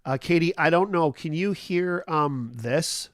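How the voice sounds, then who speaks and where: A man's voice: fairly high pitch, a little slow, no room sound, clean studio sound. A man hosting a casual morning livestream chat, stuffed up and nasal from a cold, rambling easily with lots of fillers and restarts. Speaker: livestream host.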